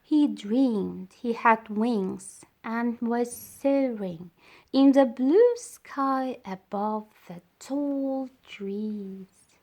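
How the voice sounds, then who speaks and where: A woman reading a children's story aloud as storyteller, with deliberate, dramatised phrasing. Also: fairly high pitch, warm tone.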